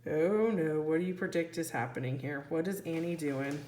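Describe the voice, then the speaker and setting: A woman's voice: sits low, normal speed, darkish tone, reverberant, a little hiss or hum. A woman teacher reading a children's storybook aloud expressively, putting questions to young listeners. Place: indoor room, book held on camera.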